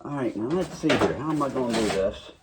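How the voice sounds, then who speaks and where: A man talking quietly and casually to camera while he works on a car, mumbling as he goes. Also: mid-range voice, lively intonation, fast, heavy background noise. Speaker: car restoration hobbyist. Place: home garage workshop.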